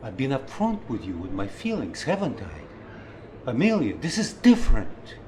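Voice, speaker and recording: A man's voice: medium pitch, wildly swooping pitch, a little slow, darkish tone, slight background noise. An actor playing a wounded, jealous older man in love in a film drama, earnest and pressing as he talks. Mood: earnest, pleading. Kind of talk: naturalistic film drama dialogue.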